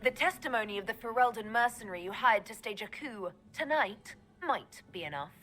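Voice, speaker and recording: An actress voicing a cold, sharp elf mage heroine in a video game, cutting and confident. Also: female voice, a little high, animated, a little fast, faint music behind.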